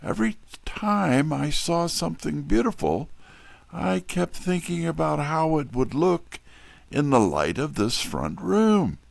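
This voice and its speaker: A man playing a wry, smooth-talking private detective who narrates an audio drama. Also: fairly high pitch, big pitch swings, unhurried, dry recording, heavily acted. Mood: smooth, charmed.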